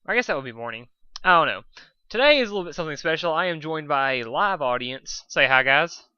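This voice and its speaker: A man's voice: a little high, animated, fast, sharp tone, clear, resonant tone, dry recording, muffled recording. A man reading a story aloud in an informal amateur way, stumbling over words now and then. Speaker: fan fiction reader.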